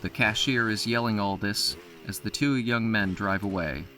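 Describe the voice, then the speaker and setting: A man narrating an audio drama in a steady storytelling voice. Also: lowish voice, moderate pace, slight background noise.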